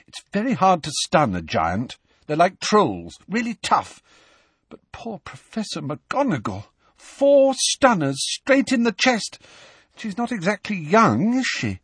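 A man voicing an earnest, emotional teenage schoolgirl witch in an audiobook, speaking with breathy emphasis. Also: sits high, wildly swooping pitch, slow pace, a bit bright, dry recording, pitched above the performer's own voice, heavily acted. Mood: shaken.

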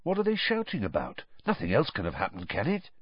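A man voicing an anxious, motherly middle-aged woman who speaks in a hushed voice. The recording is dry and slightly dull. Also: on the low side, huge pitch swings, brisk, slightly rough, no echo, heavily acted. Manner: hushed, whispering.

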